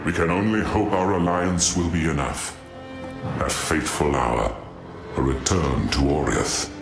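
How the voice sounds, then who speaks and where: A man playing a grave leader in a fantasy game, speaking in solemn, measured, dramatic lines. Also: very deep voice. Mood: solemn resolve. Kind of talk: dramatic scripted game narration and dialogue. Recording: roomy echo, music underneath.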